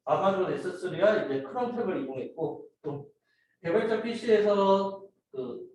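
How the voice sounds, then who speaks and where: A man with a slightly high voice gives a student project presentation, explaining in an informal, halting way. He is recorded from a distance in a very reverberant room, with some noise in the recording. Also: animated, darkish tone. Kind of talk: team project presentation, explaining slides and a demo video. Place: indoor room, voice picked up from a distance. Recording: very echoey room, distant mic, noisy.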